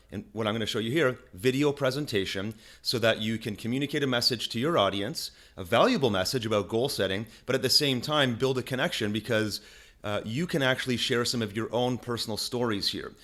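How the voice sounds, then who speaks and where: A man with a deep voice giving a casual screen-recorded tutorial. He talks in an energetic, chatty way, restarts his sentences and keeps asking "okay?". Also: brisk. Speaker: online marketing instructor. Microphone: desk microphone.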